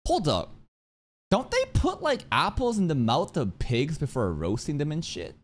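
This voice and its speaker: A young man doing excitable livestream reaction commentary, slangy and crude in his joking, speaking close to a boom-arm mic. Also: moderate pace. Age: young adult. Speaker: streamer reacting to a game trailer. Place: small indoor room. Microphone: boom-arm microphone up close.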